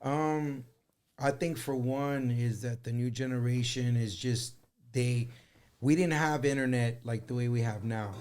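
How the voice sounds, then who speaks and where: Earnest man chatting at medium pitch. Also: darkish tone, a little hiss or hum. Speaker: interview guest, musician. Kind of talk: casual chat.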